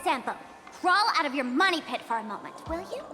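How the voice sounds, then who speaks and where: High, teasing young trickster girl. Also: animated, a little fast. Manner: teasing, theatrical. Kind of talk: video game voice acting. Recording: music behind the voice.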